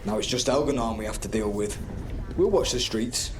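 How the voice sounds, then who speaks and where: An actor playing a determined resistance fighter in a fantasy video game, blunt and plain-spoken. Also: male voice, on the low side, moderate pace, a little hiss or hum, lightly acted.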